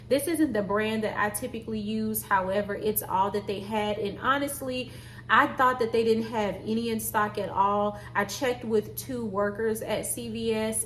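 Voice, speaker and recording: A woman's voice: medium pitch, a little hiss or hum. A woman vlogger chatting casually to her viewers in a folksy way, calling them y'all. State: nasal and congested. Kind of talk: casual vlog chat.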